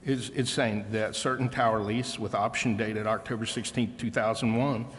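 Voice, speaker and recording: A man questioning as a council member at a formal public meeting, with halting, roundabout explanations full of pauses. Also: lowish voice, steady tone. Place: council chamber. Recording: echoey hall with long reverb.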